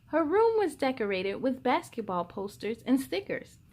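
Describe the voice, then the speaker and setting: A woman hosting a story time for children, reading and chatting in an animated, playful way. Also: high voice, moderate pace. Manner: animated, exclamatory, playful with sound effects. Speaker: story time host reading picture books. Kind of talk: children's read-aloud storytelling.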